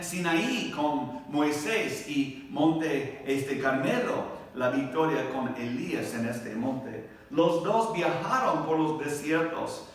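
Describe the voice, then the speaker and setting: Echoey, slow-spoken man with foreign accent. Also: on the high side. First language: not a native Spanish speaker. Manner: halting, searching for words, often checking with listeners. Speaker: Bible teacher at a Baptist church adult class. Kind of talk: Bible class teaching with scripture reading. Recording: very echoey room, distant microphone.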